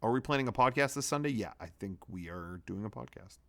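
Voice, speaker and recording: Animated, sweary man riffing casually. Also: on the low side, fast, creaky. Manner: sweary, blunt. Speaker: host of a comedy web show. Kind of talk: reading own draft tweets with casual, ranting commentary.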